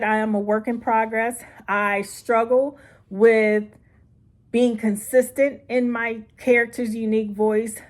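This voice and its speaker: A middle-aged woman, a writing coach, talks informally to viewers in an encouraging, conversational way, leaning on key words and checking in with listeners. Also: medium pitch. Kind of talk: informal instructional talk to viewers.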